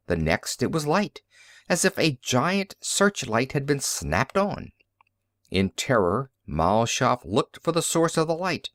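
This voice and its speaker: Male audiobook narrator, mid-pitched voice, dramatic deliberate storytelling, pulp science fiction, very dry recording. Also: no echo.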